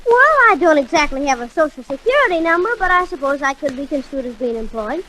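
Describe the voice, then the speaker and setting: A woman's voice: sits high, lively intonation, fast talker, bright, forceful tone, low-quality recording. A woman playing a cocky, wordy, wisecracking kid brother in a 1940s radio comedy. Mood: scheming. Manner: smart-alecky, wordy, formal phrasing. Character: cocky, wisecracking young boy, schemer, pesky kid brother. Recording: vintage 1940s radio recording.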